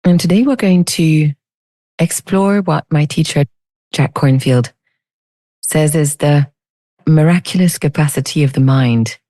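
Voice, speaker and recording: Low, mellow woman guiding a meditation. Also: dry recording. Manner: soothing, unhurried, gently inviting. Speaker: meditation teacher. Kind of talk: guided meditation.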